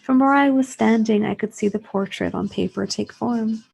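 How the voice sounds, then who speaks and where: Female story reader, dark husky tone, literary first-person narration. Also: fairly high pitch, moderate pace.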